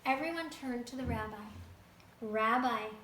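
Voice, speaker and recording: A woman reading a folktale aloud to a child, lively and stopping to ask the listener questions. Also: high-pitched, moderate pace, echoey room, a little hiss or hum. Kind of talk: reading a picture book to a child.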